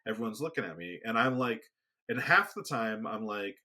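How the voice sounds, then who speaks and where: Soft-spoken guy on a podcast, kinda low voice but bright and edgy, lots of restarts. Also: animated, moderate pace. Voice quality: booming when raised. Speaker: podcast co-host. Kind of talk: casual conversation. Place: home studio, remote recording.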